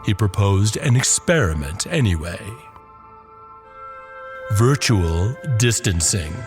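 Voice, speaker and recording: A man narrating a scripted horror story as a podcast host, with deliberate, dramatic and ominous emphasis. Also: on the low side, animated. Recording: music under the voice. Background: music.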